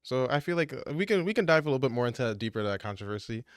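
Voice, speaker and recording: A young man hosts a relaxed podcast chat, easygoing and quick to laugh, filling gaps with plenty of "yeah" and "uh". His voice sits at an ordinary middle pitch and comes across smooth and clean. The studio sound is dry and close. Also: no room sound. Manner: laid-back, frequent "yeah" and "uh" fillers, laughs easily. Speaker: podcast host and editor. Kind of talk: casual podcast interview.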